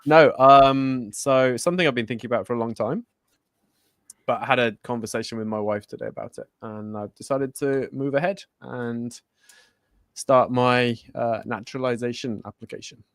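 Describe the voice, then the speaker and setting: A man co-hosting a relaxed talk show, chatting loosely and breaking into laughter. He often trips over his words and restarts them. His mid-range voice sounds dry and close to the mic. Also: medium pitch, moderate pace, no room sound. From born in Germany, raised in Spain. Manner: laughs often, self-correcting. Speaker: co-host. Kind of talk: casual chat.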